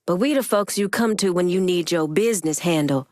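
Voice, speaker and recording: A woman's voice: fairly low pitch, fairly quick, a little mellow, dry recording, clean studio sound, lightly acted. An actress playing a tough, shrewd Haitian woman gang boss in a video game, blunt, streetwise and commanding. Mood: firm, commanding.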